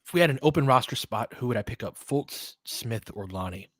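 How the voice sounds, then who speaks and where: Male play-by-play host for basketball. Conversational, thinks out loud, breaks down the action, recorded dry. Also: mid-range voice, animated, brisk, no room sound. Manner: conversational, thinking aloud, analytical. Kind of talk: live basketball commentary with casual asides.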